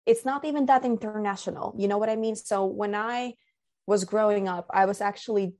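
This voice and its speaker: A woman's voice: mid-range voice, fairly quick, darkish tone. A young woman from Finland, a guest on a podcast, talking casually and thoughtfully with many fillers and restarts.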